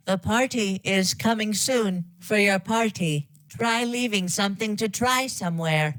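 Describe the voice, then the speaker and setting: A woman's voice: mid-range voice, fairly bright tone, no room sound, close to an everyday voice, low-quality recording. A woman voicing a parody of a polite, menacing female AI from a video game, with formal, detached, robotic delivery. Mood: falsely polite. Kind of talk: scripted parody of game announcements.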